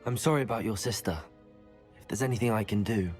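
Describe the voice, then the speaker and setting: A man playing a polite, lower-voiced young wizard. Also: a little mellow, faint music behind, natural voice. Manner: measured, polite, reserved. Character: calm, discreet new student wizard.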